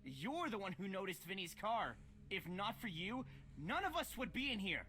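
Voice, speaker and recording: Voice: mid-range voice, sing-song, moderate pace, bright, forceful tone, slight background noise. An actor playing a jumpy, paranoid young man who keeps accusing others. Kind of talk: game voice acting.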